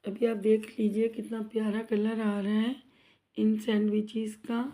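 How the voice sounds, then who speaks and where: Woman cooking-vlog host, sore throat, slow measured delivery, fairly high but flat voice, chatty with viewers. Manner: chatty, addresses viewers as guys, asks for subscribes. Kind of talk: casual cooking vlog narration. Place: home kitchen.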